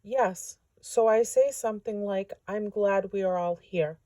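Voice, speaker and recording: Woman reading as a shy, soft little storybook mole. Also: medium pitch, measured pace, no room sound, lightly acted. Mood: shy, earnest.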